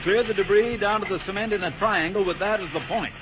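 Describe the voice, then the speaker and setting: An actor playing an authoritative, methodical fire captain giving orders in a deliberate, official way in a 1950s radio drama. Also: male voice, sits high, close to an everyday voice. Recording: muffled, noisy 1950s radio recording.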